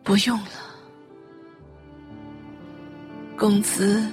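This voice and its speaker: A woman acting a frail, ailing mother in a Chinese radio drama, gentle and weary, with a breathy delivery. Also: mid-range voice, flat delivery, normal speed, over music, lightly acted. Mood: wistful, weak.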